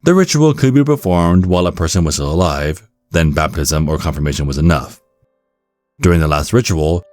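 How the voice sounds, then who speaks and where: A man with a very low voice narrates a scripted ASMR guide at a measured, wry remove. The recording is very dry, with no room sound, and music plays faintly behind him. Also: darkish tone, no echo.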